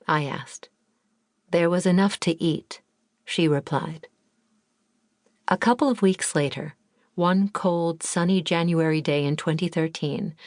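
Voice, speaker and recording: Female memoir narrator, lowish pitch, dry booth sound, calm reflective delivery. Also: dry recording. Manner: measured, reflective first-person reading. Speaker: audiobook narrator.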